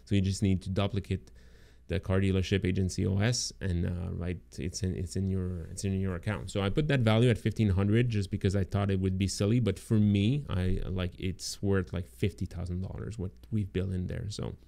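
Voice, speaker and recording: Man in his thirties, low mellow tone, tired throaty voice, casual filler-heavy livestream pitch. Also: steady tone, a little fast, creaky, few pauses. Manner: rambling, many fillers and restarts. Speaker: agency owner presenting course bonuses. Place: home room indoors. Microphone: close studio mic in frame.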